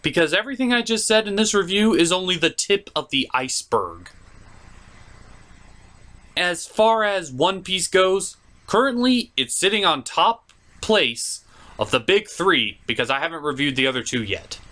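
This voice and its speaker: A man hosts a comedic review with a forceful, bright delivery. His pitch sits a little high and swings widely, giving his opinionated commentary a theatrical feel. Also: sing-song, moderate pace. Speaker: host and anime reviewer.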